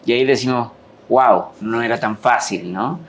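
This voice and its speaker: A man's voice: medium pitch, normal speed, a bit bright. Guy hosting a chill podcast, agrees a lot, mid voice. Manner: agreeable, frequent short backchannels. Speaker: podcast host. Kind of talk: casual conversational interview.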